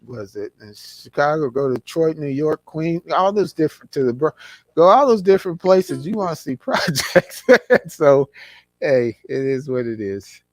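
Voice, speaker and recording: A man's voice: on the high side, animated, moderate pace, no echo. Man, 60s, from California, rambling, lots of restarts, dry close sound. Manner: rambling, reminiscing with many restarts. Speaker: guest. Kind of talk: casual conversation.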